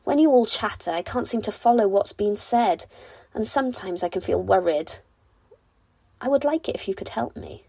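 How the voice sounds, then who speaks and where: A woman's voice: mid-range voice, lively intonation, moderate pace, creaky, mellow, slightly rough, no echo. A woman voicing a happy little gnome boy, earnest and childlike, in a bedtime storybook reading.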